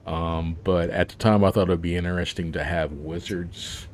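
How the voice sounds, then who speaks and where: A man's voice: low voice, fairly even intonation, moderate pace, slight background noise. A man, an author, talking about his book in a casual interview, rambling with plenty of fillers and laughs.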